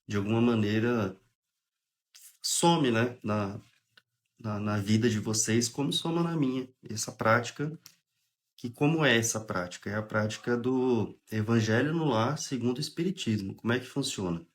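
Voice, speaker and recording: Brief: young Brazilian man with a head cold, mid-pitched, casual livestream host who reads aloud and explains. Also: normal speed. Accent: Brazilian Portuguese. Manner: relaxed, chatty, interrupts reading to explain. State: has a cold, clearing throat. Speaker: host of a spiritist study livestream. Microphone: phone or webcam on a livestream.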